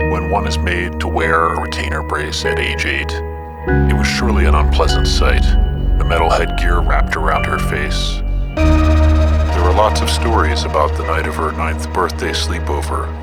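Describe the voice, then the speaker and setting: A man performing as a grave, measured narrator of an eerie audio drama tale. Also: a bass voice. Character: grave male storyteller of eerie tales. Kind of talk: scripted horror story narration. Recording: loud music under the voice.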